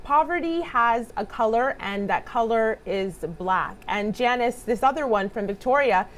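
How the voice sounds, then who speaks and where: A woman co-host reading viewer comments aloud in a measured way. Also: on the high side, animated, a bit bright.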